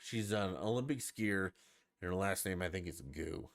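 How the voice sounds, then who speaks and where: A man's voice: low voice, normal speed, no room sound, studio quality. A man hosting a podcast, talking alone in a blunt, profane, emphatic rant. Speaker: podcast host. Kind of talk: opinionated solo podcast rant.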